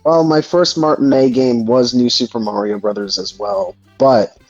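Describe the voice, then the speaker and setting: A man chats casually and reflectively, feeling for his words as he goes. His medium-pitched voice is recorded dry and a bit muffled, with music playing underneath. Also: fairly even intonation, normal speed, darkish tone, dry recording. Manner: hesitant, reflective, searching for words. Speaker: host of a gaming discussion. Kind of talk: casual discussion. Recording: dull lo-fi mic, music underneath. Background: background music.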